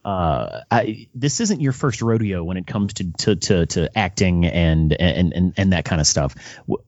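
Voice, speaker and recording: A man interviewing as a podcast host in a casual, halting style, often repeating words as he goes. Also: low-pitched, lively intonation, darkish tone, no room sound, dull audio.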